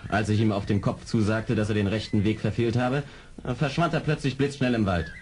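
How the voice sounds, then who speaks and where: An actor plays a proper young army officer in a vintage radio play. His voice is rather low with brisk, correct diction, and a light hiss sits underneath. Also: steady tone, natural voice. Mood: polite. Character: proper young male English army major. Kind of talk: German radio play acting. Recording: hissy old radio play record.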